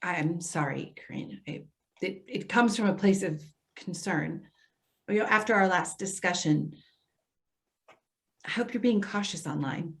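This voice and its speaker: A woman's voice: lowish voice, a little slow. A woman playing an earnest, apologetic social worker in readers theater, speaking with careful, placating hesitation. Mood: flustered, apologetic.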